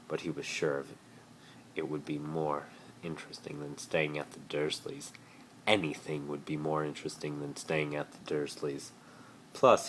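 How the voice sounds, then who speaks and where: A man's voice: very deep voice, a little hiss or hum, rough recording. A man giving an amateur dramatic reading of fan fiction, recorded on a phone. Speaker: amateur story reader.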